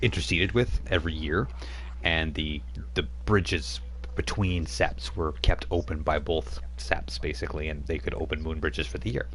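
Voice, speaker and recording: A man's voice: extremely low pitch, moderate pace, a little hiss or hum. A man running a tabletop game as game master, setting scenes in a loose, rambling way with casual asides.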